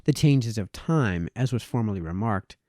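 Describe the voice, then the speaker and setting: A man reading a formal historical essay aloud as narrator, in a deliberate, expository manner with varied emphasis. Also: lowish voice, animated, darkish tone, dry recording, clean studio sound. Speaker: reader, narrator.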